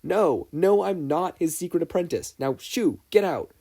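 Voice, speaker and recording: Guy doing an earnest teen boy student in a story, animated n exasperated, higher voice. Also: unhurried, gravelly, heavily acted. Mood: upbeat, flustered.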